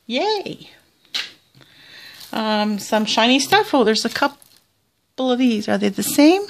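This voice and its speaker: Slow, chatty Southern Ontario woman. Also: on the high side, very animated, vocal fry, a little hiss or hum. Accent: Southern Ontario Canadian. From Canada. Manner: chatty, rambling, many fillers and restarts. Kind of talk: casual show-and-tell narration. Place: small indoor tabletop setup.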